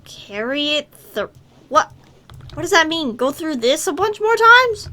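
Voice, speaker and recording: Bright, high-pitched woman gamer talking casually. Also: big pitch swings, unhurried. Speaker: gaming video host. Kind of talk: casual gameplay commentary, reacting as she plays.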